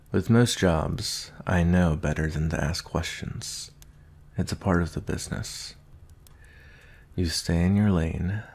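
A man voicing a gruff werewolf bounty hunter with a soft side, in an intimate ASMR roleplay, with a deep gravelly voice and a murmuring, deliberate delivery. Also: exaggerated voice. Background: nature ambience.

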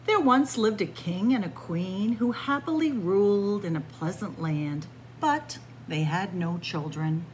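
A woman storyteller reads a picture book aloud to children in an expressive manner, recorded indoors in a library room. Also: medium pitch, measured pace, few pauses, low-quality recording. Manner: expressive, addressing young listeners as friends. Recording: clear background room noise.

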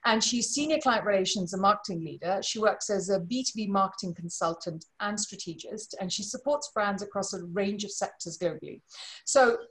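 A middle-aged woman from Malawi speaks as a webinar host over a video call from her living room. Her voice sits at an average pitch. She talks informally, with effusive and emphatic phrasing, as she introduces and appeals to her audience. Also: brisk, fairly bright tone, poor audio. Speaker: webinar host and charity board chair. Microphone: video call computer microphone.

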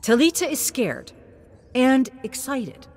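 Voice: sits high, very animated, slow pace, darkish tone. A narrator reading a scripted story in a dramatic way.

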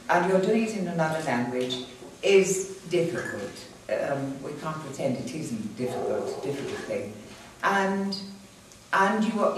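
An older woman, Welsh her first tongue, addresses a seated crowd with a lively, rising and falling delivery. She is heard from well back in a boomy room with a faint hiss underneath. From Wales. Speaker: guest speaker. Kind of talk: formal speech to an audience. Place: small hall with seated audience. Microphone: far camera, no mic. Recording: echoey room from far off.

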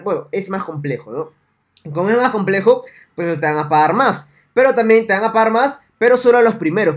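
A young man in a small room, quickly pitching a way to earn money online in a repetitive, salesy tutorial straight to the viewer. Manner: fast, salesy, repetitive, addresses viewer directly. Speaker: online money-making vlogger. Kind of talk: screen-recorded tutorial with webcam.

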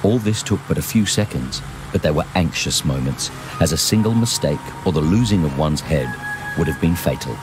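Very deep-voiced man narrating a memoir. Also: lively intonation, moderate pace. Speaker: narrator reading an aviator's memoir. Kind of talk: scripted first-person audiobook-style narration. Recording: music behind the voice. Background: music.

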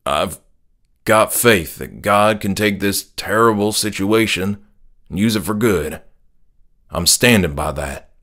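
A man plays a grieving, devout middle-aged father in a horror audiobook. His voice is low and subdued. He speaks slowly and haltingly, with earnest conviction. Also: a bit bright. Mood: pleading, earnest. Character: grieving middle-aged father, soft-spoken, tearful, steadfast in faith.

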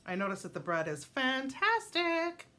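A woman's voice: sits high, wildly swooping pitch, moderate pace, pushed and bright. A middle-aged woman with a Wisconsin accent, chatting casually while she cooks at home, rambling along with plenty of fillers. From Wisconsin, United States. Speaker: home cooking vlogger. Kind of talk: casual cook-along chat. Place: home kitchen and dining table.

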